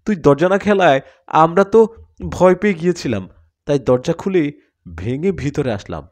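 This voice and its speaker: A man's voice: a little high, animated, normal speed, a little mellow, pitched above the performer's own voice, natural voice. A man voicing an anxious, caring parent in an audio horror story, worried and urgent.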